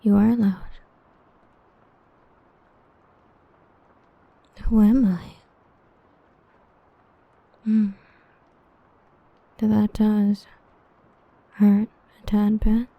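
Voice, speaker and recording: A woman playing an obsessive, protective villain with destructive powers, whispering softly and possessively into a close mic in an ASMR roleplay. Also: mid-range voice, little pitch movement, very slow, creaky, long pauses, no echo, lo-fi sound, heavily acted. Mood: tender.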